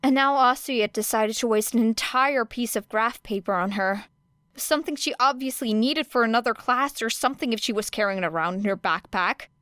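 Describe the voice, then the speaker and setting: A woman's voice: a little high, brisk, clear, resonant tone, no echo. Woman narrating a fan audiobook. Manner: steady, even storytelling. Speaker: podfic reader.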